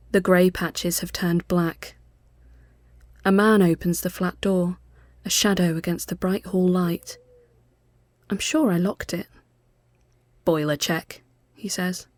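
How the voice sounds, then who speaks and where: A woman giving a literary first-person narration, measured, hushed and sombre. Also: fairly low pitch, no room sound, clean studio sound. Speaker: storyteller.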